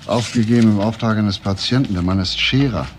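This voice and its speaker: A man playing a courteous, probing homicide detective in a crime drama, speaking in a measured, polite way. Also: lowish voice, slight background noise, natural voice. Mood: businesslike. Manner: measured, polite, hesitant at times. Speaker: actor. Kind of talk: German TV crime drama dialogue.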